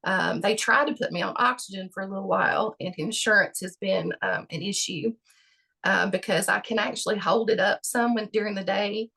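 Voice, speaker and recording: A woman with a gravelly voice answering interview questions about her health, halting and full of fillers. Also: mid-range voice, pushed and bright. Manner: halting, many fillers and restarts. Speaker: patient guest.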